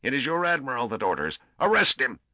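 A man's voice: a bass voice, fairly even intonation, fast talker, pushed and bright, no room sound, exaggerated voice. A man voicing an arrogant, hot-headed prince and admiral in a sci-fi audiobook, imperious, indignant and blustering. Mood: shrieking fury. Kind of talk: dramatic audiobook character voice.

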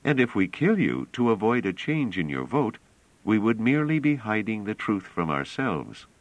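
A man voicing a calm young woman who explains patiently and earnestly. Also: lowish voice, normal speed, no echo, pitched above the performer's own voice.

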